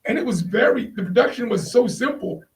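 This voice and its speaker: High-pitched man, distant from the mic. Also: expressive, fairly quick. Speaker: podcast panel host. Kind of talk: casual panel discussion.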